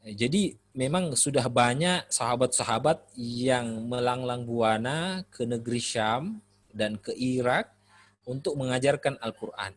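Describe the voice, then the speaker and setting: A man giving an Islamic studies lecture in a relaxed, conversational way, often pausing with "eh" while he thinks. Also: medium pitch, no echo. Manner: relaxed, conversational, frequent "eh" pauses. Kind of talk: classroom lecture.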